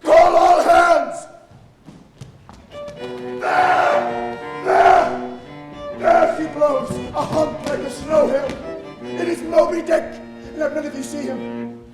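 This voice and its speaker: A man's voice: sing-song, slow, long pauses, music in the background, crowd noise, heavily acted. A man playing an old, obsessed whaling captain on stage, declaiming grandly and commandingly in an echoing auditorium. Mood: commanding, frenzied. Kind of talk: theatrical stage acting of a literary tragedy. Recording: echoey auditorium, low rumbling noise.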